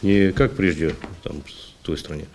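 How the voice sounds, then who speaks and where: A man's voice: deep voice, fairly even intonation, a little slow, darkish tone, slight background noise. A man in his forties formally reading out procedural items as chairman, with hesitations. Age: 40s. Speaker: meeting chairman. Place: meeting hall, seated at a table.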